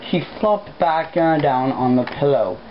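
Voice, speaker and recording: An amateur narrator reads a story aloud casually, at a middling pitch. The reading is broken by irreverent, swearing asides about slip-ups. The sound is dull and lo-fi, with faint noise behind it. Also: animated, unhurried. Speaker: amateur fan fiction reader. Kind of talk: casual read-aloud with side remarks. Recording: dull lo-fi home recording, background noise.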